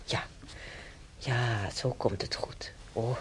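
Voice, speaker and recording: A woman's voice: rumbling low, steady tone, slow, whispered. An actress playing a chatty, wistful hospital patient in her seventies in a radio drama, rambling and homely as she reminisces. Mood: fond, proud. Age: 70s. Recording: noisy old radio play recording.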